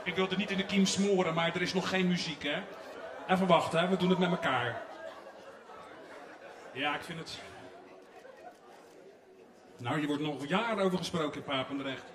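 A man's voice: high voice, moderate pace, pauses often, crowd noise, noisy background. A man, presenting playfully and teasingly on stage as a show host. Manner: teasing, joking. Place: large theatre stage with choir. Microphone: handheld microphone. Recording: echoey stage, distant from mic.

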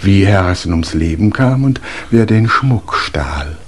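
An actor playing a formal, stiffly courteous English manservant in a 1950s German radio play. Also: male voice, low voice, animated, unhurried. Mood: deferential, formal.

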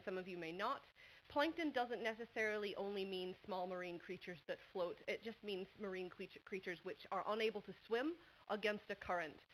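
A woman in her thirties from New England, with a New England American accent, giving a quick, chatty conference talk full of ums at a panel desk mic. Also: medium pitch, a bit bright, slight background noise, low-quality recording. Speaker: conference speaker. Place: large university lecture hall. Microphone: table microphone at a panel desk.

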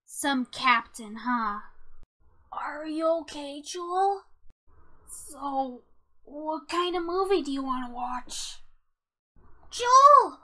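A woman's voice: sits high, fairly bright tone. A woman voicing an earnest, timid young boy angel in an emotional, hesitant way for a character voice reel. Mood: wistful, self-doubting, then gentle, caring. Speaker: amateur voice actor.

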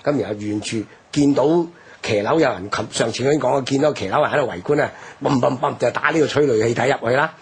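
A man's voice: mid-range voice, quick pace, vocal fry, slightly rough. An older man with a Hong Kong accent, recounting history in an emphatic, explanatory way on a radio talk.

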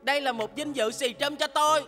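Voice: high-pitched, a little fast, sharp tone. A performer voicing a small, gluttonous elf cook in a dubbed children's cartoon, proud, earnest and exclamatory. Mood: sympathetic. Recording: hall reverb, music behind.

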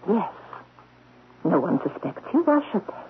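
A woman's voice: on the low side, very animated, measured pace. An actress playing a commanding, mocking queen of thieves, a magical statue, in a radio mystery drama. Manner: commanding, taunting. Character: imperious, mocking statue of an Indian thief queen. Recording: muffled, noisy old radio broadcast.

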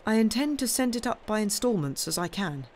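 A man voicing a sheepish young nephew in a storybook reading, meek, halting and apologetic. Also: sits high, very animated, pitched above the performer's own voice. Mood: miserable, pleading. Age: young adult. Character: sheepish, apologetic young nephew, cornered about his debts.

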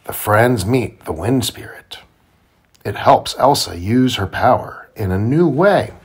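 A man reading a children's storybook aloud to his child in simple, deliberate phrasing. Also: medium pitch, animated, a little hiss or hum. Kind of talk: children's read-aloud narration.

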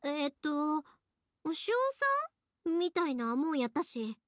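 High-pitched, chatty young Kansai woman. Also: slow. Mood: earnest, thoughtful. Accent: Kansai Japanese. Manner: expressive, earnest, chatty. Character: cheerful, earnest young woman firework-maker, warm and chatty. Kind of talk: anime game voice acting. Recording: game music behind the voice.

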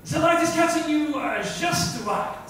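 A man voicing an excitable, naughty male photographer directing a shoot in a hesitant, exclamatory way at a dramatic book reading. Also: very high voice, unhurried, voice pushed up, heavily acted. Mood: excited, bossy. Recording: echoey room, far mic, faint noise.

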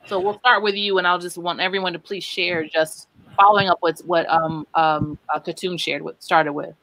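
A middle-aged woman moderating a video call discussion in a reflective way, with gentle pauses. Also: fairly low pitch, fairly even intonation, dry recording, poor audio.

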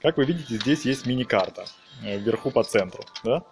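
A man's voice: mid-range voice, expressive, a little fast, noisy background. A man giving casual, chatty game commentary as a let's-play host, explaining hesitantly as he plays. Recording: game music behind the voice. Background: video game music.

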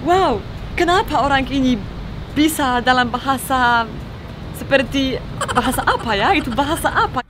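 A young woman with a Polish accent vlogs in a casual, chatty way. Her voice is fairly high, and she speaks her second language simply and haltingly, with music clearly playing behind her. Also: animated. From Poland. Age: young adult. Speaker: vlogger. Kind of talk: casual chat to camera. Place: outdoors on a beach.

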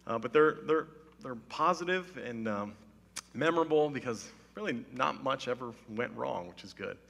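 Slightly hoarse man preaching with playful humour. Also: medium pitch, expressive, moderate pace, fairly bright tone. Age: 30s. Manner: playful, self-mocking, mock-serious. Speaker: pastor. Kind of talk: casual humorous sermon. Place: church stage with wood-panelled wall. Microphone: headset mic. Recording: long hall reverb.

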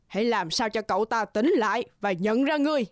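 A woman's voice: fairly high pitch, lively intonation, a little fast, a little hiss or hum, lightly acted. A woman voicing a haughty, menacing snow queen in a children's cartoon, taunting and imperious.

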